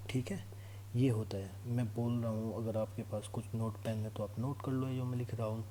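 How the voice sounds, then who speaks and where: Low, mellow male teacher. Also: steady tone, moderate pace, low-quality recording. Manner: instructional, repeatedly checks understanding. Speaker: physics teacher for exam students. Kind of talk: informal classroom lesson, explaining to students. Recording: clear background noise with hum.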